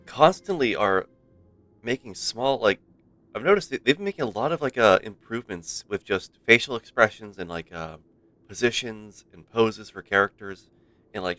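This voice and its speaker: A game streamer, a man with a low but bright, forward voice. He rambles playfully in casual livestream chat, close and dry on the mic. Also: dry recording. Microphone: close streaming microphone. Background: faint game music.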